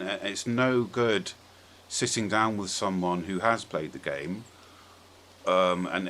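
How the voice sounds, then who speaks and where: A very deep-voiced man hosts a casual discussion podcast. He argues his points emphatically and swears freely. A faint noise sits behind his voice. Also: a bit bright. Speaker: podcast host.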